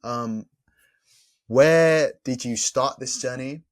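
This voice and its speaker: A man hosting a casual podcast interview, relaxed, laughing often and repeating words as he thinks. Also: mid-range voice, slow. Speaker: podcast host.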